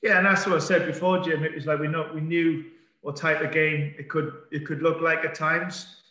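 Calm manager, echoey video call. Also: male voice, on the high side. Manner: calm, measured, many fillers and restarts. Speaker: football manager. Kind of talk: post-match press conference. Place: indoor press room in front of a sponsor board. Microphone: webcam on a video call. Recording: echoey room.

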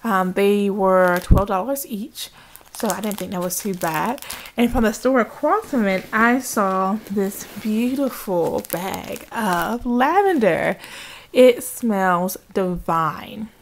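Woman, creaky mid-pitched voice, chatty and enthusiastic, casual haul vlog, handheld camera at home. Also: takes it slowly, slight background noise. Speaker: craft vlogger. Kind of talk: casual haul show-and-tell. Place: indoors at home. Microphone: handheld camera, speaker behind it.

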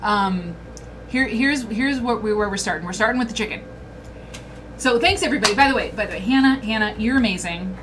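A woman's voice: medium pitch, brisk, noisy background. A woman hosting a casual livestream chat from a small room, reading viewer comments aloud and talking to them directly. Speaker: host.

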